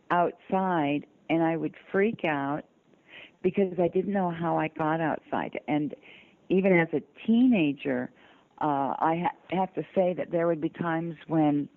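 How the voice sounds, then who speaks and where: An older woman from the southern United States telling personal stories earnestly and deliberately as an interview guest. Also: deep voice, no room sound. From southern United States (Georgia, North Carolina). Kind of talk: personal storytelling in conversation. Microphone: telephone. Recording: muffled narrow phone-line sound.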